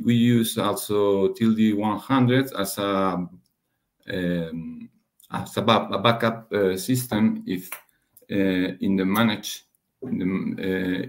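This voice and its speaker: A man giving a technical slide presentation as a webinar speaker, halting and hesitant, often pausing and rephrasing. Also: lowish voice. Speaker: webinar presenter, radiation dosimetry researcher.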